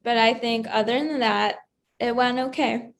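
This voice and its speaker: A woman's voice: fairly high pitch, fairly even intonation, moderate pace, creaky. A teenage young woman with an American accent, co-hosting a student podcast in a casual, chatty style.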